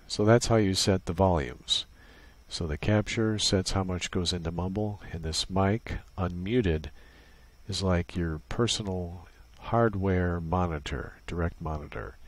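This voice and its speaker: A man presenting an unscripted tutorial, explaining a setup casually, step by step. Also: sits low. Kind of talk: casual unscripted software walkthrough.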